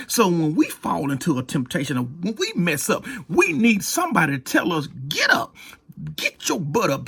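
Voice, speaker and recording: Animated, raspy Southern preacher man. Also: sits high. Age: middle-aged. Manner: emphatic, repetitive preaching with folksy direct address. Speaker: pastor and storyteller. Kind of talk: animated storytelling sermon.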